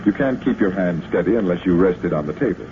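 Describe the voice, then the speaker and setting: An actor playing a patient, confident stage magician giving instruction in an old radio drama. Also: male voice, deep voice, lively intonation, quick pace. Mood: patient, instructive. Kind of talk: 1940s radio acting, instructing. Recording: tinny, hissy vintage radio recording.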